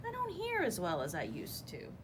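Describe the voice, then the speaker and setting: A woman's voice: mid-range voice, wildly swooping pitch, moderate pace, noisy background. A woman voicing a sleepy, grumbling old male groundhog, dismissive, in a children's storybook. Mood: softened.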